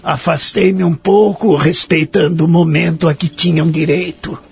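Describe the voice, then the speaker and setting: An actor playing a humble, lonely elderly Brazilian man in a radio drama, formal, sincere and deliberate. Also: on the high side, steady tone, creaky, breathy edge, full character voice. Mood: reflective. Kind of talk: radio drama acting and narration. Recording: dull lo-fi audio drama recording.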